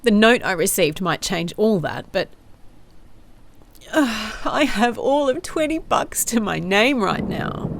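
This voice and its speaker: A woman's voice: mid-range voice, animated, takes it slowly, gravelly. A woman playing a weary, sardonic female private investigator, speaking in a dry, confessional audio diary style for an audio drama. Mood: bleak, dejected.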